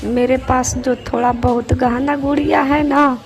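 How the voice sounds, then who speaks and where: A woman playing a protective village wife and mother in a cartoon story, gentle and reassuring. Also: high-pitched, level tone, measured pace, faint music behind. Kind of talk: cartoon moral-story character acting.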